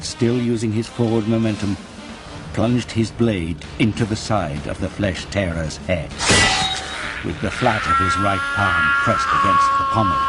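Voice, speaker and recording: A man giving a grave, intense, deliberate narration of scripted fiction for an audio drama. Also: low-pitched, noisy background. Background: music.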